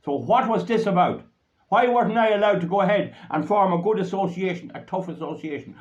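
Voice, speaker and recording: A man's voice: high voice, brisk. An Irish man giving a blunt, rambling political talk to supporters. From Ireland. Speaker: political activist. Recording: dull lo-fi recording.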